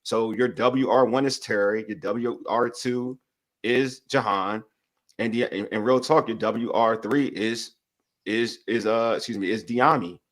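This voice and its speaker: A man co-hosting a casual sports talk show, talking in quick bursts with frequent restarts. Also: fairly low pitch. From Nigeria. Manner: animated, talks through restarts. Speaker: podcast co-host.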